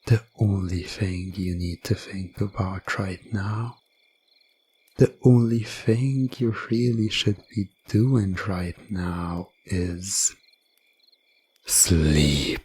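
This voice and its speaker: Man acting very deep, slow sinister hypnotist. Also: fairly even intonation, slight background noise. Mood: coaxing, hypnotic. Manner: slow, hushed, coaxing and commanding. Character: sinister, gloating male hypnotist serving a game master. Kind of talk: hypnosis ASMR audio drama.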